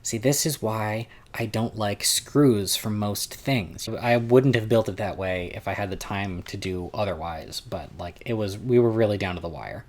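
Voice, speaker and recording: Young guy with a lowish loud voice, rambly vlog storytime from home, keeps restarting his sentences. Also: normal speed, hardly any pauses. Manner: rambling, self-interrupting, chatty. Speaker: vlogger recounting a convention.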